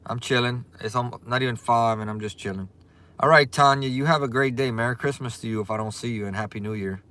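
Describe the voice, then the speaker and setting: A man with a strong Cajun accent, hosting a casual livestream, chatting in a relaxed way and greeting viewers by their comment names. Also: medium pitch, fast. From Louisiana. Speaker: livestream host.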